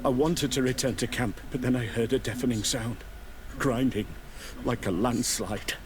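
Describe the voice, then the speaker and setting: A man's voice: mid-range voice, steady tone, normal speed, crowd noise, natural voice. An actor playing an anxious, evasive guard in a video game, stammering and deferential as he reports to his superiors. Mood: shaken. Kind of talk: video game dialogue acting.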